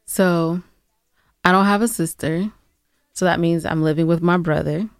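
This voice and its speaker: A woman talks casually as a podcast co-host. Her voice sits a little low and sounds smooth, captured close and dry. She speaks conversationally, often posing questions. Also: fairly even intonation, unhurried, no echo. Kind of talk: casual advice podcast chat.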